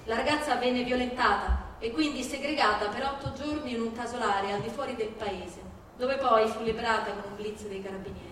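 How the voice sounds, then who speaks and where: A woman's voice: fairly high pitch, brisk, few pauses, a bit bright, slight background noise. A woman giving a scripted dramatic reading as a stage narrator. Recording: echoey room, distant mic, music behind. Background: live music.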